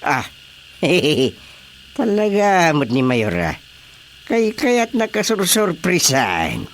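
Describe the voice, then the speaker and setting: An actor playing a proud, excitable older Filipino father and village chairman in comedic radio drama, with theatrical, exclamatory delivery. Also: fairly high pitch, drawn-out words, full character voice. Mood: eager, demanding. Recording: faint background noise.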